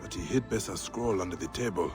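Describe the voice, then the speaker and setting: An actor playing a grave, resolute warrior protector of ancient Egypt in a video game, speaking in measured, solemn phrases. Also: male voice, low voice, close to an everyday voice. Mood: brooding. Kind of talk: video game cutscene acting. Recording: game music behind the voice.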